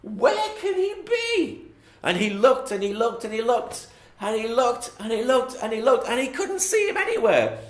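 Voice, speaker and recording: A man's voice: very high-pitched, expressive, normal speed, echoey room. A man narrating a children's Bible bedtime story, with repetitive, sing-song storytelling phrasing.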